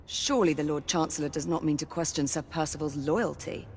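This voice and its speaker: A woman's voice: low voice, a little fast, darkish tone, a little hiss or hum. An actress playing a young, principled noblewoman knight in a video game, formal and challenging.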